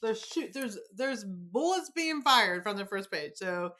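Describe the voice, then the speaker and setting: Female host, average pitch, casual interview style, effusive and supportive. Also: expressive, a little slow, noisy background. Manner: effusive, encouraging. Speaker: podcast host.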